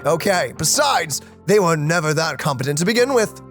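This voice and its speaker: Playful, high-voiced man doing gaming commentary. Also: normal speed, soft background music. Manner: playful, animated. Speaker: let's play host. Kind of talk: casual gaming commentary, reading dialogue aloud.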